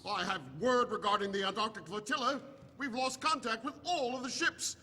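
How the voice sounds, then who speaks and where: Man acting an anxious, very high-pitched henchman. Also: moderate pace, pushed and bright, heavily acted. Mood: urgent. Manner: formal, deferential, agitated. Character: anxious, fawning male underling of a villain. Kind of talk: live stage audio drama. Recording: echoey hall, noisy live stage recording.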